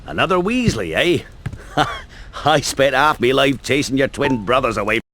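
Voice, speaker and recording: An actor playing a big, friendly, rustic groundskeeper, homely and folksy, in a video game. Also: male voice, mid-range voice, very animated, measured pace, background noise. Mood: welcoming, amused. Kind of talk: video game cutscene acting. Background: music.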